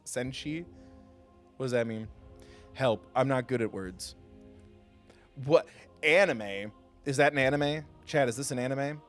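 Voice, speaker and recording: A man hosting a video game livestream, chatting casually and haltingly with viewers in a self-deprecating, joking way. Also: medium pitch, a bit bright. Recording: music behind the voice. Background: music.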